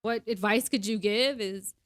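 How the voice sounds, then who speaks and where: A woman's voice: mid-range voice, moderate pace, dry recording, studio quality. A young woman co-hosting a casual podcast, rambling with lots of fillers and frequent laughs. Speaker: podcast co-host.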